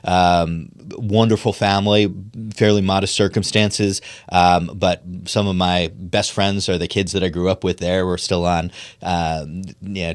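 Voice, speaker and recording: A man's voice: sits low, clean tone, dry recording, studio quality. A middle-aged man from Pennsylvania, a podcast guest talking in an earnest, thoughtful way with frequent ums and restarts. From Pennsylvania, USA. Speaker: podcast guest, political strategist. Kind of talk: conversational podcast interview.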